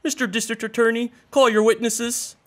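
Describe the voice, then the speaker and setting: A young man playing a high-voiced, stern judge. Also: flat delivery, normal speed. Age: young adult. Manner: formal, exasperated rulings. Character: stern, formal male judge. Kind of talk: comic radio play acting.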